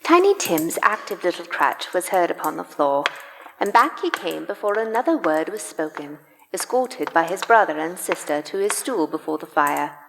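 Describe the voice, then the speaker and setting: A measured female storyteller narrates a Victorian tale in a radio play performed by a woman. Her voice sits fairly low with a noticeable creak, and it carries a long church-hall reverb. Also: very animated, background noise. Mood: descriptive.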